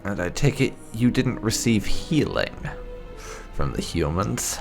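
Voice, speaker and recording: A man's voice: on the low side, big pitch swings, a little slow, gravelly, over music. A man roleplaying through a video game, mixing sardonic in-character lines with casual commentary. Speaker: gaming video creator playing a roleplay character.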